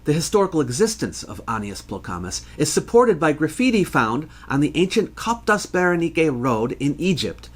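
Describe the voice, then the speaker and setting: A man hosting an educational program, reading scripted narration in a measured, scholarly way. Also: on the high side, sing-song, husky. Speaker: history educator and host.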